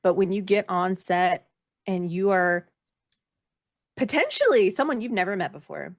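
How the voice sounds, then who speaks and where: A woman speaking casually as an interview guest, reflective and self-deprecating, with an animated way of talking. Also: mid-range voice, measured pace, dry recording, clean studio sound. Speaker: guest, actor and performer.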